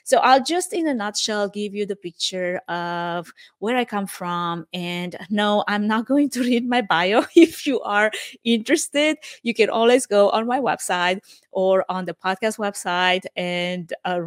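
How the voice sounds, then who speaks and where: A woman's voice: mid-range voice, unhurried, no echo, studio quality. A woman in her forties from Romania with a Romanian accent, hosting her own podcast and talking upbeat with frequent light laughs. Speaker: podcast host. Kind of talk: solo podcast monologue.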